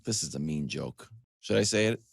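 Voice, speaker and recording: A man's voice: very deep voice, animated, moderate pace, vocal fry, no room sound, clean studio sound. A man hosting a sports talk show, delivering a comedic, conversational monologue with emphatic lists, joking asides and acted-out questions.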